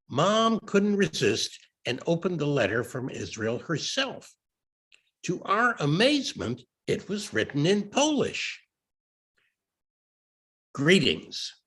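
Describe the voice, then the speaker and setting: A man narrates a literary story in the first person. His voice is husky and his pitch swings widely for dramatic effect. He takes his time, keeping a slow, measured storytelling pace. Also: fairly bright tone. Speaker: reader of a short story.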